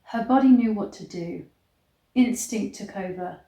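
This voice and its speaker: A woman reading a story aloud in a measured, theatrical storybook style. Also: mid-range voice, dark tone. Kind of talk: dramatic storybook reading with disgusted asides. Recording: echoey, roomy sound.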